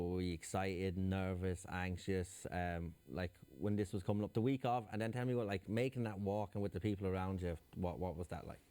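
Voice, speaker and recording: Deep, fast-talking male interviewer. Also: dark tone, dry recording. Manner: loose, run-on questioning. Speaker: interviewer host. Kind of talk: interview questions.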